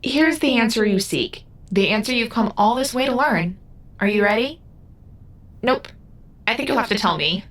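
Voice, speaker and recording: A woman's voice: fairly high pitch, lively intonation, normal speed, a bit bright. A woman playing a young otherworldly female guide in an audio drama, solemn, sad and a little wry. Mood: solemn, knowing.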